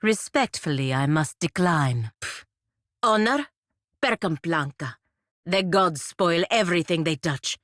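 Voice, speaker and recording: An actress playing a proud, sardonic fantasy warrior-diplomat in a video game, speaking formally and with dry scorn. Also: female voice, fairly low pitch, expressive, a little slow, a bit bright, no echo. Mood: dry, scornful. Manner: formal, clipped, dryly scornful. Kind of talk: video game character dialogue.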